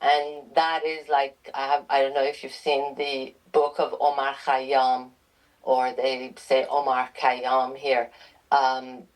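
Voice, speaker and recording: Woman from iran, author guest, deep low voice, talks slow and thoughtful, lots of ums. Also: flat delivery, low-quality recording. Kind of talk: interview answers.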